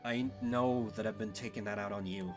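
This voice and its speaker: Man playing a haunted young attorney, low and subdued. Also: steady tone, moderate pace, music in the background, close to an everyday voice. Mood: sorrowful. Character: Tyrion, haunted, earnest young male attorney. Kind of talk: visual novel character dialogue.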